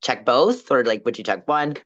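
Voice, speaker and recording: A panelist rambling through a story with frequent fillers and restarts, at a middle pitch. The audio is dull and slightly lo-fi. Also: lively intonation, moderate pace, a bit bright. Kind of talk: casual panel chat.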